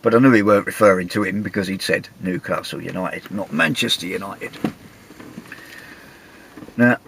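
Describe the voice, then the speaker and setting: An English man, middle-aged, with a gravelly, husky voice, telling a travel story in a chatty, wry, self-mocking way. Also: deep voice, normal speed, a bit bright, a little hiss or hum. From England. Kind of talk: casual anecdotal storytelling.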